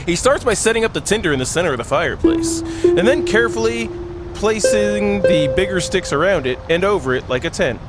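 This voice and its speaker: A man with a high-pitched, very animated voice hosts a video game playthrough. He reads the story aloud in a goofy, exclaiming way and slips into joking asides. Game music plays prominently behind him. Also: a little fast. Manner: goofy, giggly, exclaiming, drifts between reading and quips. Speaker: gaming video host. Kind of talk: playful let's play, reading story aloud with joking asides.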